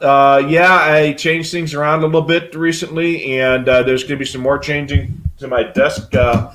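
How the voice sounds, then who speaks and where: An older man hosting a casual live hobby show, explaining things in a rambling, matter-of-fact way. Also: medium pitch.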